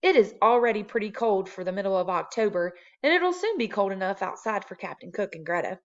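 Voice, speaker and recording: A woman's voice: mid-range voice, expressive, fairly quick, lightly acted. Woman reading as a cheerful optimistic husband, muffled. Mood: reassuring. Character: cheerful, stubbornly optimistic husband, fond of his penguins.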